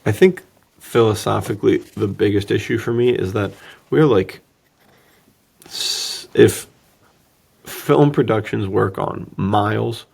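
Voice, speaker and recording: A man's voice: on the low side, slow, vocal fry, long pauses, darkish tone, husky. A man co-hosting a podcast, chatting casually and jokingly about film in an informal way. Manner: informal, joking, prone to chuckling. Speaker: podcast co-host.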